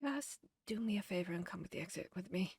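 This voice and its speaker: A woman's voice: mid-range voice, animated, quick pace, breathy edge, dry recording. A woman playing a protective, caring vampire hunter in an intimate fantasy audio roleplay, speaking directly to the listener. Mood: hushed, wary. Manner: intimate, addressing the listener directly, shifting between hushed and urgent.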